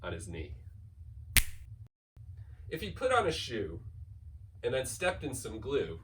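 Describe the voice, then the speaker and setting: A man's voice: mid-range voice, huge pitch swings, slow pace, fairly bright tone, distant mic, a little hiss or hum, low-quality recording. A man giving a playful, theatrical read-aloud of a children's picture book, stretching key words and racing through piled-up lists. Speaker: school staff member reading a children's book aloud.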